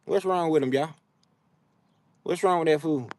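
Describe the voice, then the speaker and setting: A young adult man with hosts a casual livestream, talking trash to his viewers in an animated, drawling, slangy way. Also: a little high, normal speed. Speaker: livestream host. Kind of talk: casual live chat with viewers. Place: outdoors, frogs nearby.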